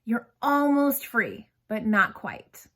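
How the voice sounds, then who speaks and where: A woman voicing a stern, exacting female schoolteacher in a storybook, with crisp, commanding, drawn-out emphasis. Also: on the high side, very animated, sharp tone, full character voice. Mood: exacting, dramatic.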